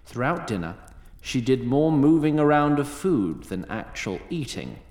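A man with a medium-pitched voice narrates a fantasy audio drama. His delivery is scripted and very lively in pitch, in a roomy, echoing sound. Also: a little slow.

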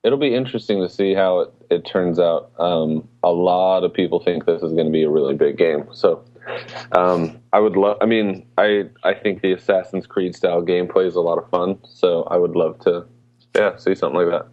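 A man's voice: deep voice, steady tone, normal speed. A man co-hosting a casual gaming podcast, chatting informally about games. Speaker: podcast co-host. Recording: slightly dull, lo-fi audio.

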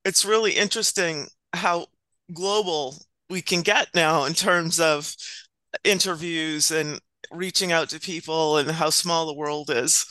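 An interview host with a change management background, asking friendly, halting questions. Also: medium pitch, steady tone, pushed and bright, no room sound, rough recording.

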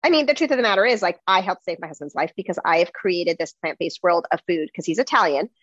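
A woman's voice: on the low side, fast, breathy edge, no room sound. Chatty woman on a dull line. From United States. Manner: chatty, many fillers, animated. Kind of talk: casual conversation.

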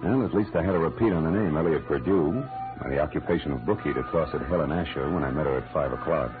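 An actor playing a hard-boiled American private detective in an old radio drama, terse, dry and wry. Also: male voice, extremely low pitch, quick pace. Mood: wry narration. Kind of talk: 1940s radio drama acting with first-person narration. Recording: muffled old-time radio recording with music.

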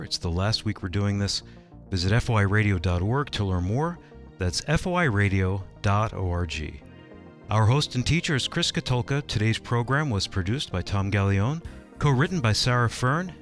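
Man, lowish pitch, scripted radio announcing, co-host, soft music behind.

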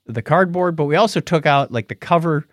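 A man's voice: a little high, a little fast, dry recording. An American man co-hosting a podcast, chatting casually and explaining things in an anecdotal, conversational way. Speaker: podcast host.

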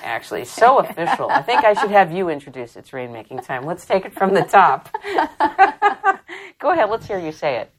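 A woman in her thirties hosting an interview show from a hotel, effusive and chatty and quick to laugh. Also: mid-range voice, sing-song, husky. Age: 30s. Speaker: talk show host. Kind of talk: enthusiastic radio-style interview hosting. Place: hotel in London.

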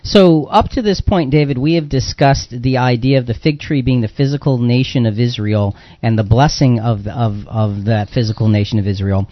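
A man's voice: mid-range voice, no room sound, dull audio. A man hosting a live radio Bible discussion, talking conversationally as he recaps points and puts questions to a guest. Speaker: radio show host. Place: radio studio.

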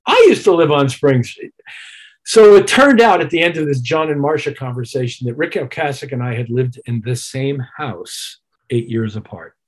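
A mature man with a husky edge and a medium-pitched voice. He talks as an interview guest who is a working musician, unspooling detailed anecdotes. His delivery is casual and reflective, and he voices both sides of remembered conversations. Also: expressive, moderate pace, low-quality recording. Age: middle-aged or older.

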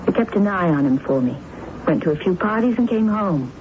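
A woman's voice: lowish voice, lively intonation, fairly quick, lightly acted. An actress playing an insistent, theatrical woman accused of murder who turns amateur sleuth, in an emphatic radio drama style. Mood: insistent, probing. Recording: narrow-band noisy old radio broadcast.

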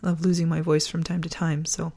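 A woman hosting a personal podcast, talking earnestly and deliberately, her voice partly gone from a sore throat. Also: sits low, level tone, gravelly, mellow, husky. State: losing voice from a sore throat virus. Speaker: podcast host. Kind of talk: personal podcast, reflective essay and casual chat.

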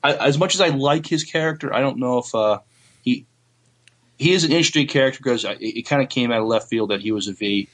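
A man co-hosting a podcast in casual chat, halting and searching for his words. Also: medium pitch, a bit bright. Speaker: podcast co-host.

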